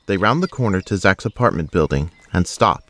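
A man's voice: deep voice, animated, brisk, a bit bright. A man reading scripted narration for an action audio drama.

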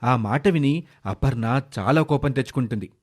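A man's voice: medium pitch, moderate pace, a little mellow. A man narrating a scripted moral story as its storyteller.

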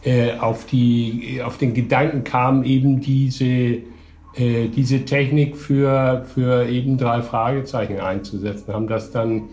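A middle-aged man giving an interview answer, halting and hesitant with long pauses. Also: mid-range voice, flat delivery, a little mellow. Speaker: 3D audio producer. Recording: echoey room sound.

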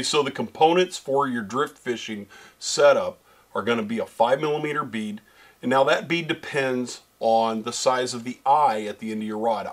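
A man's voice: medium pitch, a bit bright. A middle-aged man giving a step-by-step how-to demonstration as a show co-host, talking straight to viewers. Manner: step-by-step instructive, addresses viewers as folks and guys. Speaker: fishing pro staffer and co-host. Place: small studio set with backdrop.

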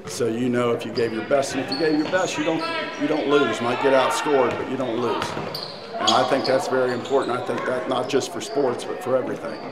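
A man in his sixties, a retired coach and gym teacher, speaking in a heartfelt, halting way with repeats. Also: medium pitch, fairly even intonation. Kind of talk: emotional tribute speech and interview. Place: school gym hallway, indoors. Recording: noisy gym with loud crowd. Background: crowd noise and bouncing basketballs.

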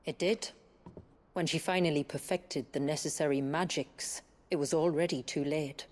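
Solemn low-voiced woman storyteller, played by an actress. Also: steady tone, a little mellow, lightly acted. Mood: grave, reverent. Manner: measured, formal, earnest. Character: grave village woman recounting her people's tragic past. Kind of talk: solemn scripted storytelling in video game drama.

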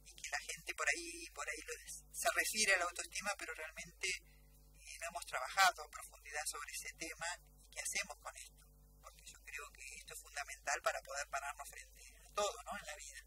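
Bright, animated Argentine woman on radio. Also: on the low side, a little fast, a little hiss or hum, rough recording. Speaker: radio guest. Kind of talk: earnest discussion in a radio interview. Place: small radio studio. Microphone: studio desk microphone.

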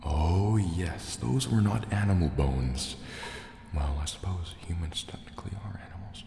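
A man voicing a large, menacing, distrustful sea serpent dragon in a slow, deliberate, soft-spoken ASMR roleplay. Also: sits low, creaky. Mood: cold menace. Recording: echoey cave reverb, faint noise. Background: water and cave ambience.

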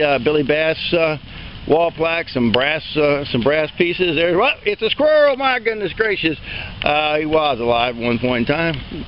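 A man's voice: a little high, muffled recording, slight background noise. A man walking an outdoor auction preview, listing items in a rambling, folksy way with many fillers. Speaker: auctioneer giving a preview walkthrough. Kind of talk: unscripted walk-and-talk tour. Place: outdoors at an open-air sale yard.

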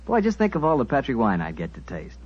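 A man's voice: mid-range voice, wildly swooping pitch, fast, husky. A male radio announcer doing chummy, folksy 1940s-style ad patter. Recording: dull old radio broadcast.

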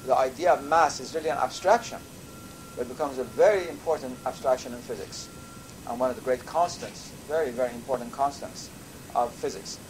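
An older man, a native Persian speaker from Iran, giving a measured university lecture with thoughtful pauses. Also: medium pitch. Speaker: professor. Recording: lecture recording with audible background noise.

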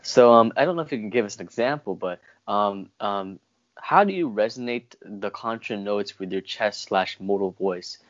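A man's voice: on the low side, moderate pace. A young man hosting a casual interview over a video call, informal and somewhat hesitant as he talks. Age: young adult. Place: indoor room at home. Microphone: wired earphone mic on video call. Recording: dull, muffled video-call audio.

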